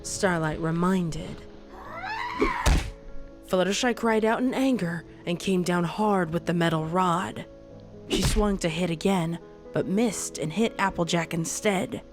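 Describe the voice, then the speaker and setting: A woman's voice: lowish voice, takes it slowly. A woman reading a dramatic scripted story as its narrator. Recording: music behind the voice.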